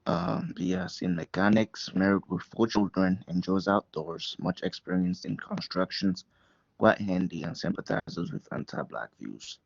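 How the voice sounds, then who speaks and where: A man's voice: sits low, big pitch swings, measured pace, creaky, dry recording, highs cut off, exaggerated voice. A man voicing a fifty-one-year-old married handyman and reading a short profile aloud with some hesitation. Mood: plain.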